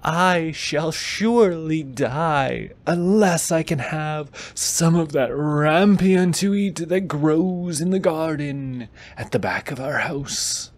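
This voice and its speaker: A man's voice: on the high side, takes it slowly, few pauses, voice pushed up. A man voicing a pining, melodramatic fairy tale wife, full of yearning, as in a bedtime storybook. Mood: desperate longing.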